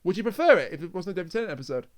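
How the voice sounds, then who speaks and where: A man's voice: high-pitched, very animated, racing. A man hosting a podcast in casual conversational talk. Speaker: podcast host.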